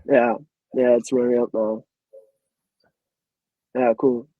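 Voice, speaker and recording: A man's voice: mid-range voice, little pitch movement, slow pace, no room sound, phone-quality sound. A man whose native language is Dutch, giving a webinar presentation in a casual, hesitant way with many fillers. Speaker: guest presenter.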